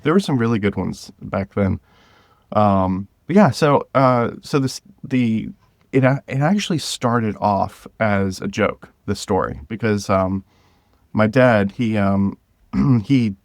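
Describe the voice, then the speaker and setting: A man chatting casually as an interview guest, halting as he searches for words. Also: on the low side, no room sound, clean studio sound. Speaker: podcast guest, writer and creator.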